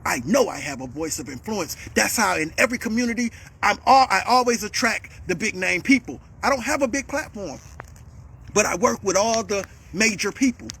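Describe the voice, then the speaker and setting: A man delivering a heated, repetitive monologue as a vlogger, calling out a rival and swinging up to shouts. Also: high voice, expressive, normal speed, gravelly, slightly rough.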